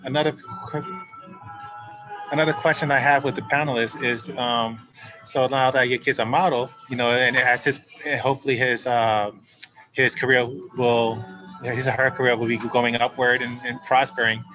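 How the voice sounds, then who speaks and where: A man hosting a webinar panel, asking halting questions with restarts over a video call. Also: medium pitch, level tone, sharp tone, dull audio, faint music behind. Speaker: panel host.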